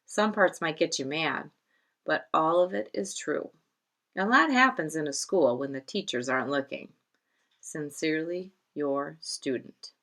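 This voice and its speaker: A woman's voice: low voice, measured pace, a bit bright. A woman voicing an earnest fifth-grade student confiding in a teacher in a storybook, chatty in manner.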